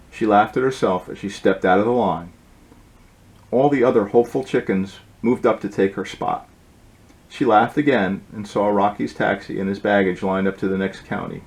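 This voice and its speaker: A man reading his own storybook aloud as its author, in an informal way with occasional halting asides. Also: lowish voice, darkish tone, low-quality recording.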